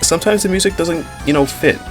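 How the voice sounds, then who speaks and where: A man's voice: a little high, fast talker, faint music behind. A man hosting a commentary video, talking in a casual, rambling way with frequent fillers and self-corrections. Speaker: commentary channel host.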